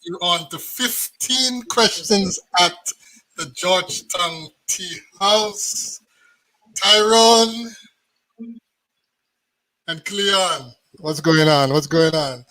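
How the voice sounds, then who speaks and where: A man's voice: high voice, lively intonation, drawn-out words, pauses often, bright, forceful tone, poor audio. A man hosting an informal talk show, often repeating his words and phrases as he goes.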